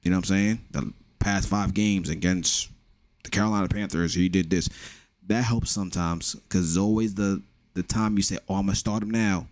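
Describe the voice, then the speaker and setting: A man's voice: deep voice, darkish tone, no room sound. A young man with a New York accent, hosting a casual solo podcast with blunt, profane joking. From the Bronx, New York. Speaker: podcast host.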